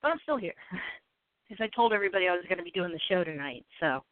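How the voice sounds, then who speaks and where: A woman's voice: on the low side, animated, fast, no room sound. A woman co-hosting a casual chat show, speaking with a stuffy nose in an easygoing, apologetic way. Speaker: co-host. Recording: tinny phone-like line.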